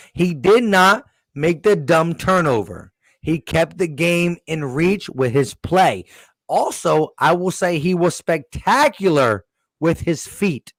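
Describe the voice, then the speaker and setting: A man's voice: a little high, no room sound. A man hosting a postgame sports talk show, emphatic and insistent, nearly losing his voice. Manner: emphatic, repeats "listen". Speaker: sports podcast host.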